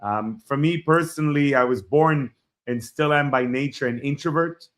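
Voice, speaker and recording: A man in his forties from Israel, a Hebrew speaker, telling his life story as a podcast guest in a reflective, halting way. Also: mid-range voice. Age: 40s. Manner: reflective, halting starts with frequent fillers. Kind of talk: personal storytelling in an interview.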